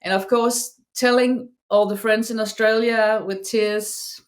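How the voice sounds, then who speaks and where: A middle-aged woman from Denmark with a Danish accent, chatting as a podcast guest, speaking English haltingly with many restarts and repeated words. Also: a little high, fairly even intonation. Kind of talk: casual chat.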